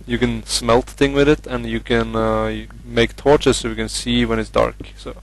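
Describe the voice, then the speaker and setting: A man's voice: medium pitch, little pitch movement, moderate pace, vocal fry, low-quality recording. A young man from Norway with a Norwegian accent, casually commentating his game with many hesitant fillers. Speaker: gamer.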